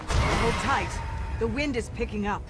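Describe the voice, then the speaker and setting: A woman playing a protective, motherly female flying creature in a video game, speaking calmly. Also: a little high, measured pace, loud music behind the voice, natural voice. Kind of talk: dramatic video game acting.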